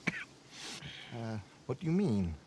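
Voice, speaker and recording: An actor playing an awkward, sentimental man in a game, speaking haltingly. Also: medium pitch, very animated, a little hiss or hum. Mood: awkward, wistful. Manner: hesitant, halting.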